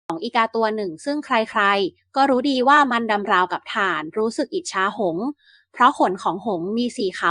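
A woman narrating Aesop-style fables for bedtime in an even, measured reading. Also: fairly high pitch, dry recording. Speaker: storyteller.

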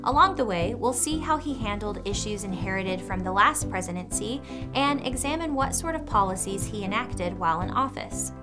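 A woman's voice: medium pitch, lively intonation, moderate pace, music in the background. Young woman narrating a scripted lesson. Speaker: educational video presenter. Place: studio with a plain wooden backdrop.

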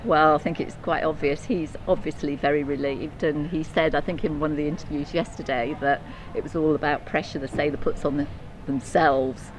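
An older woman, the parent of an athlete, speaking in a measured, matter-of-fact way outdoors at a marina. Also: low voice, fairly even intonation, a little hiss or hum, low-quality recording. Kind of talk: casual interview.

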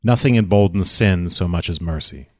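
A grave, formal figure from Shakespeare, read by a man. The voice is fairly low and closely recorded with no room sound, and the verse comes out measured. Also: darkish tone, dry recording, studio quality. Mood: stern. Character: grave, measured male servant or stern senator. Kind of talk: unrehearsed Shakespeare play reading.

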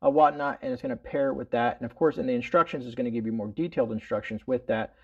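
A man's voice: medium pitch, quick pace, dull audio. A middle-aged man hosting a casual product demonstration indoors, explaining in an informal, rambling way full of verbal tags. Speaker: host of a prepping product review channel. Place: indoors in a room at home.

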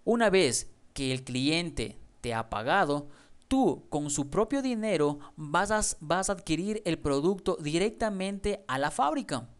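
Male tutorial narrator, clear resonant voice, friendly and direct with the viewer, close-mic voice-over. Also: sits high. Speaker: online business instructor.

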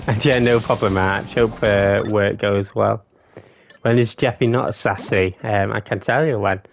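A man's voice: very animated, slow, creaky, slight background noise. A man, casually chatting with his live-stream viewers while gaming, playful and jokey. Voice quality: deep voice. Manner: playful, jokey, bursts into silly singing.

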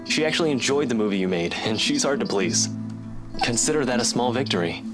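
An actor playing a reserved, gently teasing young man in a visual novel game. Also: mid-range voice, animated, brisk, close to an everyday voice. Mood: reassuring. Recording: guitar music behind the voice.

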